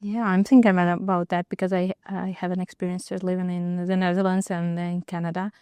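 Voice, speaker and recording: A woman with a Ukrainian accent speaking on a roundtable panel, halting and restarting her sentences. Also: fairly low pitch, level tone, darkish tone, clean tone, no echo. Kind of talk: roundtable discussion.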